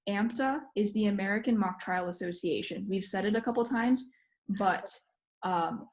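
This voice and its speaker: A woman's voice: mid-range voice, normal speed. Chatty young woman, club president. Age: young adult. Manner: chatty, lots of "like", drops to near whisper. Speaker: mock trial club president. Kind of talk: casual Zoom chat. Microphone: video call.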